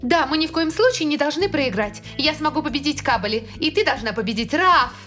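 A woman's voice: high-pitched, lively intonation, a little fast, a bit bright, soft background music. A woman voicing a perky, confident teenage angel girl in a cartoon dub. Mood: determined. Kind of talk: animated cartoon dub acting.

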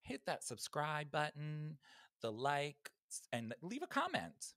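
A man's voice: a little high, wildly swooping pitch, slow pace, fairly bright tone, dry recording. A man co-hosting a podcast in casual, gossipy chat, with campy emphatic repetition, on a close microphone. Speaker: podcast co-host. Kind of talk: casual chat about pop music news. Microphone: close podcast microphone, video call.

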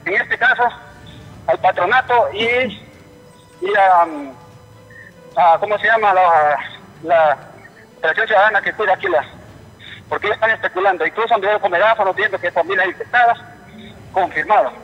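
A man with a Honduran accent calling a live news programme by phone, speaking in an indignant, halting way and repeating himself. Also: high voice, lively intonation, faint music behind. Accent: Honduran Spanish. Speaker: phone-in caller, relative of a patient. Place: telephone call to a TV studio. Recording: muffled, reverberant phone line.

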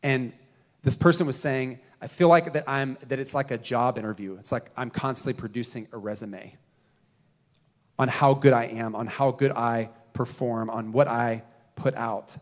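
A man in his thirties preaching a sermon on a church stage into a handheld mic, earnest, rising to emphasis and dropping to quiet, slow stresses. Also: medium pitch, fairly even intonation. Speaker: pastor.

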